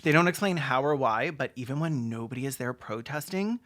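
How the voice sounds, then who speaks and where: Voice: mid-range voice, expressive, fairly quick, fairly bright tone. A video essayist giving sarcastic, mocking comedic commentary on a film in a campy way.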